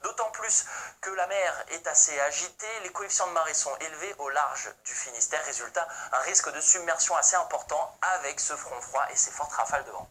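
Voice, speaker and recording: A TV weather forecaster giving a broadcast forecast, explaining clearly with everyday comparisons and the odd filler. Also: medium pitch, brisk, pushed and bright, slight background noise, low-quality recording.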